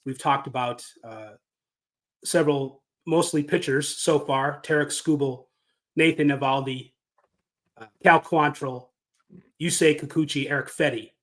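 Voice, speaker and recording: A man co-hosting a sports podcast, musing through his ideas as he talks. His voice sits a little higher than most men's. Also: fairly even intonation, measured pace, a bit bright. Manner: musing, thinks aloud with restarts. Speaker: podcast co-host. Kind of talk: casual sports chat.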